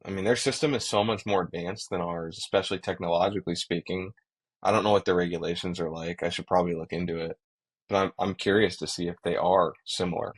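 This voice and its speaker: Low-voiced man talking earnestly. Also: fairly even intonation, fast, husky, low-quality recording. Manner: earnest, explanatory. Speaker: podcast co-host, working pilot. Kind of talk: casual podcast discussion.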